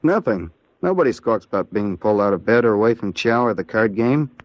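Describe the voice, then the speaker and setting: A man with an American accent answering in a scripted 1940s radio interview as a Navy seaman, in a plain, earnest, measured way. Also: fairly low pitch, animated, dry recording, natural voice. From Ohio, United States. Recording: dull vintage 1940s radio recording.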